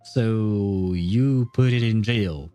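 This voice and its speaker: A man's voice: lowish voice, drawn-out words, warm tone, clean tone, no room sound. A man livestreaming a game, explaining and commenting in a casual, informal, opinionated way. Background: game music.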